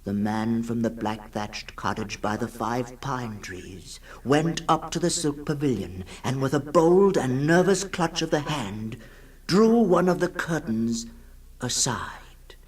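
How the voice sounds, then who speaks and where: A man giving a solemn, dramatic literary reading in the style of 1960s radio, swinging between hushed and ringing tones. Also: mid-range voice, animated, slow pace. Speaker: storyteller, reader. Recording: 1960s radio production with music behind. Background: music.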